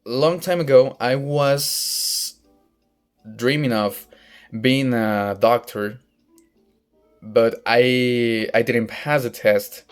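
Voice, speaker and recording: A young man from northern Mexico, a native Spanish speaker with a Mexican accent, talking casually about himself in English and often pausing to search for words. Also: medium pitch, smooth, soft background music. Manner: hesitant, searching for words, frequent restarts. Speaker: vlogger practicing English. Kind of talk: casual personal monologue. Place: outdoors in sunlight.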